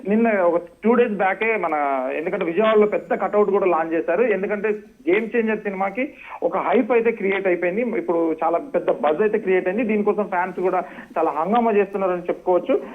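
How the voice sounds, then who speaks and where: A man's voice: sits high, fast. A man giving a wordy, unscripted live news report as a reporter over a phone call. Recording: tinny narrow phone line.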